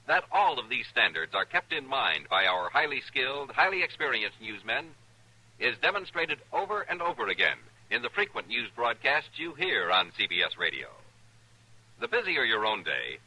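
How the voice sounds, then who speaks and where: A man's voice: mid-range voice, sing-song, moderate pace, pushed and bright, husky, low-quality recording. An American man reading a scripted 1950s radio promo as announcer, polished and emphatic. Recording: old-time radio recording.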